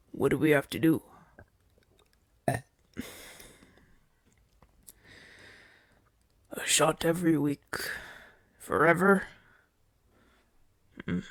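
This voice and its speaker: A man playing a proud, wounded gladiator champion in an ASMR audio roleplay, speaking in a weary, halting, breathy way with gruff bluster. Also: on the high side, no room sound, full character voice. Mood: drowsy, trusting. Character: wounded male gladiator champion, brash, proud, trusting his doctor.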